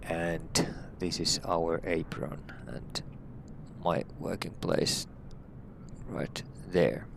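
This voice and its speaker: A man's voice: sits low, very slow, gravelly, background noise. A middle-aged man from Finland with a Finnish accent, a helicopter pilot talking through a flight sim session with many fillers. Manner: hesitant, many fillers, plain and friendly. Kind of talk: casual flight-sim walkthrough.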